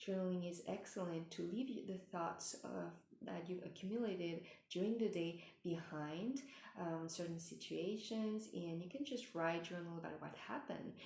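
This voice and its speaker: A woman giving gentle spiritual advice in a meandering way, with many fillers and restarts, and she is losing her voice. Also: lowish voice, creaky, roomy sound, a little hiss or hum. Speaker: spiritual mentor. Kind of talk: casual advice talk.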